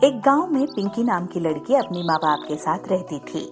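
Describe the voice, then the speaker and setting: A woman's voice: lowish voice, sing-song, moderate pace, loud music behind the voice. A woman narrating a scripted story for children.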